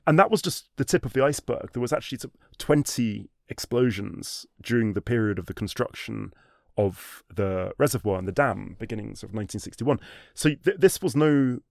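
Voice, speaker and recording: A man telling a history story in a conversational way, animated, with frequent restarts and fillers like "sort of" and "um". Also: mid-range voice, a little fast, creaky, dry recording, clean studio sound. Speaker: history guest.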